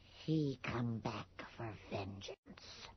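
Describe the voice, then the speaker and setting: A woman's voice: extremely low pitch, animated, drawn-out words, slightly rough, full character voice. An actress playing an anxious, gossipy rural townswoman in a 1980s radio horror drama, speaking in a folksy, ominous way. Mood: grim, accusing. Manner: folksy ungrammatical speech, hushed and ominous. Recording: dull lo-fi radio drama recording.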